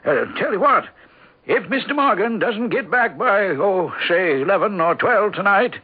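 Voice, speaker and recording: A man's voice: high voice, animated, moderate pace, breathy edge. An actor playing a helpful, easygoing, neighbourly small-town man on the phone in a radio drama. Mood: helpful, reassuring. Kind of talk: 1970s radio drama acting. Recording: dull lo-fi old radio broadcast.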